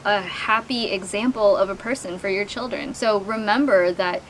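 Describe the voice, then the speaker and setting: A woman's voice: medium pitch, normal speed, a little hiss or hum, low-quality recording. A woman in her thirties co-hosting a casual couple's Q&A, talking in an earnest, reflective way with lots of "like" fillers. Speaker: co-host answering viewer questions.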